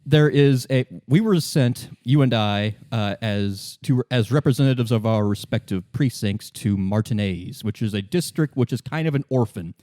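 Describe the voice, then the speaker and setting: A man acting as game master, narrating a tabletop game in an informal, halting, improvised way. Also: mid-range voice, dark tone, no room sound, studio quality.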